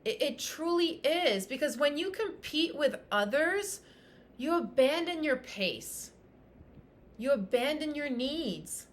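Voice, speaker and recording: A woman whose first language is French, a fitness coach giving an energetic, emphatic motivational talk on a livestream, repeating phrases for punch. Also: sits high, slow pace. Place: Tulum, Mexico.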